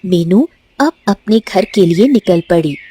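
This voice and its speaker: A woman's voice: medium pitch, animated, unhurried, dark tone, husky. A woman narrating a scripted village fairy tale as a storyteller.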